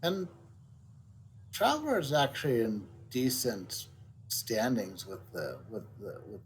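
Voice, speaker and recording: A man's voice: medium pitch, wildly swooping pitch, takes it slowly, slight background noise. A man streaming a video game and making casual, offhand comments over the cutscenes, sometimes stumbling over his words.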